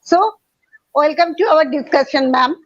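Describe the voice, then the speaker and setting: Slow, high-voiced woman host. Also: lo-fi sound. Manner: formal, halting phrasing. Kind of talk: interview hosting.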